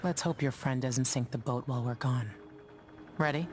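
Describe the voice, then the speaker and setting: A woman playing a gruff, wary middle-aged ship captain in a video game, with terse, guarded lines. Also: very deep voice, moderate pace, music in the background. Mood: businesslike. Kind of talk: video game cutscene acting.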